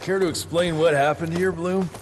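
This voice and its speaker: A man's voice: on the high side, fairly even intonation, normal speed, noisy background, close to an everyday voice. A man playing a hot-headed cursing hunter. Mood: tense. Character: tough, foul-mouthed young male hunter. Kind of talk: game cutscene acting. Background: music score.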